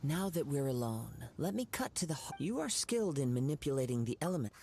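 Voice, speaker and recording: A woman's voice: very deep voice, sing-song, background noise, full character voice. A woman voicing a courteous, composed and inquisitive martial artist in a video game, speaking with formal, old-fashioned politeness. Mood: knowing. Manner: formal, archaic, measured politeness. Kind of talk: video game character dialogue.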